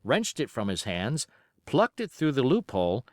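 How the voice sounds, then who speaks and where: Guy reading an adventure audiobook, dramatic and expressive, really lively intonation, close dry sound. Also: medium pitch, dry recording. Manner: expressive, measured storytelling. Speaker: audiobook narrator. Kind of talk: dramatic scripted narration of an adventure novel.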